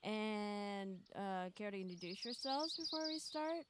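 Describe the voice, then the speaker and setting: A woman's voice: medium pitch, animated, measured pace, very noisy. A woman hosting a stream in casual chat, rambling and hesitating as she goes. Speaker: streamer hosting a group visual novel stream.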